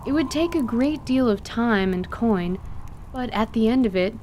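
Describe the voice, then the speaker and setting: A woman playing a formal, bookish teacher of young girls in an audio drama, speaking in precise, measured wording. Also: medium pitch, darkish tone, slight background noise, lightly acted. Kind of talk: audio drama acting of a tabletop campaign.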